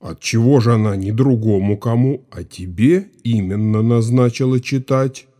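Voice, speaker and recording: An actor playing a stern, suspicious older Cossack with a weighty, commanding delivery in an audio drama. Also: male voice, medium pitch, moderate pace, warm tone, lightly acted. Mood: grave, probing. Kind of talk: theatrical audio drama acting.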